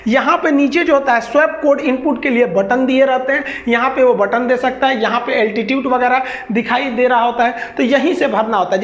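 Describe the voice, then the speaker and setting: High-pitched woman teacher with Bihari accent, echoey. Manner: colloquial, explains with everyday examples and acted-out exchanges. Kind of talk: informal explanatory lecture. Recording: echoey room, slightly dull sound.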